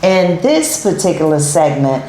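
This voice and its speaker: A woman's voice: low voice, very animated, measured pace, warm tone, echoey room, a little hiss or hum, poor audio. A middle-aged woman hosting a talk show, chatting casually with guests, with many fillers and repeated yeahs. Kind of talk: casual interview chat.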